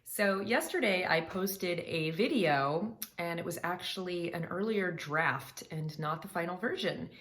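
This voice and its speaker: A woman's voice: fairly low pitch, a little slow, few pauses, over music. A woman vocal coach talking casually to her viewers, chatty, explaining song lyrics line by line. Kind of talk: casual reaction vlog talk.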